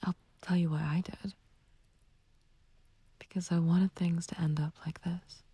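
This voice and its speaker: A woman playing a flirtatious, taunting villain in an ASMR roleplay, speaking softly and breathily in a teasing, coaxing, languid way. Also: fairly low pitch, flat delivery, a little slow, dry recording, exaggerated voice. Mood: intimate, playful. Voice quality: breathy, whispery, soft. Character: flirtatious, taunting female villain sweet on a captive friend. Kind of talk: ASMR roleplay, intimate scripted acting.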